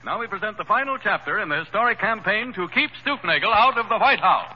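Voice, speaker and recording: A man's voice: high-pitched, expressive, quick pace, over loud music. A man announcing in a formal, polished 1930s radio style. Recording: muffled 1930s radio with hiss.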